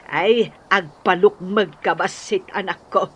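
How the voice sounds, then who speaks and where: Doting Filipino mother, older, unhurried delivery, tender and fussing, radio drama, slightly noisy dull sound. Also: lowish voice, a bit bright. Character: doting older mother fussing over her grown son.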